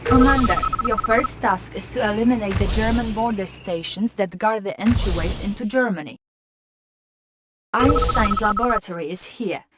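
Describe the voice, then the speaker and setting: A woman's voice: mid-range voice, background noise, lightly acted. A woman playing a composed Soviet intelligence officer, formal and deferential as she briefs a commander in a video game. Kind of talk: scripted game mission briefing.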